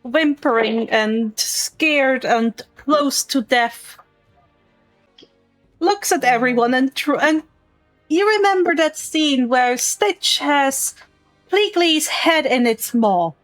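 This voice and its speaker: A woman game master with a high voice narrates at a slow, measured pace. She describes the scene and patiently explains rules, recorded dry with faint music behind her. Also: lively intonation, dry recording, low-quality recording. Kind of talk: improvised tabletop game narration.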